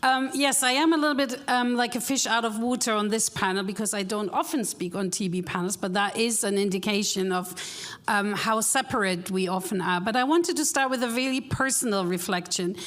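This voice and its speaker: A woman speaking on a conference panel, loud and reflective, sharing personal experience with quick asides. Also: medium pitch. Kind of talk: panel discussion.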